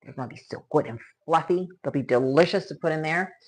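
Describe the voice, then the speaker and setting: Lower-pitched woman chatting while cooking. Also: quick pace, husky. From born in Spain to Cuban parents, raised in Florida from age ten. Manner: chatty, exclaiming, thanking viewers as she works. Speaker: live stream host cooking at home. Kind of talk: casual chat with live viewers. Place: home kitchen.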